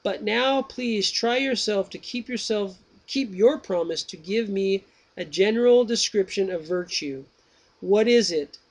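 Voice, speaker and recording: A man reading aloud the lines of Socrates, a patient older philosopher who questions his pupil in slow, deliberate phrases. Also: sits high, pitched above the performer's own voice, poor audio. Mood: probing.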